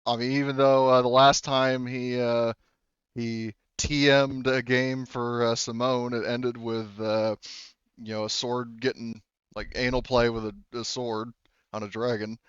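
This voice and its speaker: Halting man, smooth voice, slightly dull sound. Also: medium pitch, level tone, no echo. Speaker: game master. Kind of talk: casual tabletop roleplay narration and table talk.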